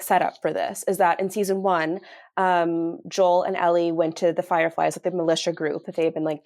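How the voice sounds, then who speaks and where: A woman's voice: lowish voice, darkish tone. A woman co-hosting a podcast, giving a casual, thoughtful TV review in a hesitant way peppered with "like". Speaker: podcast co-host.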